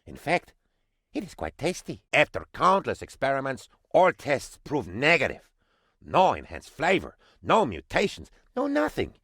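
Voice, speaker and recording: An actor voicing a bumbling, excitable and fawning aide to a dictator in a comic video game, with theatrical delivery. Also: male voice, mid-range voice, measured pace, breathy edge, no room sound, studio quality, exaggerated voice. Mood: disappointed, hesitant. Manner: theatrical, fawning, swooping between excitement and dismay. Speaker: game advisor character.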